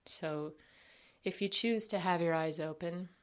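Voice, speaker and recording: A woman guiding a meditation as an instructor, speaking gently and without hurry, leaving long pauses. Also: lowish voice. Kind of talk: guided body scan meditation.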